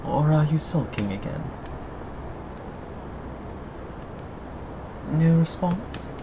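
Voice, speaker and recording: A performer in an intimate ASMR roleplay voicing a possessive, sweetly menacing captor, coaxing and teasing with pauses and sighs. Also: mid-range voice, lively intonation, noisy background, close to an everyday voice.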